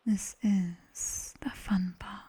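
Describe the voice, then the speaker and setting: A woman plays a female nightmare demon tutor with a British accent. She whispers in a very breathy, softly dark tone, extremely unhurried. Her ASMR instruction is coaxing and dryly teasing, delivered close to the mic. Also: mid-range voice, steady tone, heavily acted. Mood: coaxing, firm. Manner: slow, dry, teasing instruction. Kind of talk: ASMR roleplay, guided body scan. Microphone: close binaural microphone.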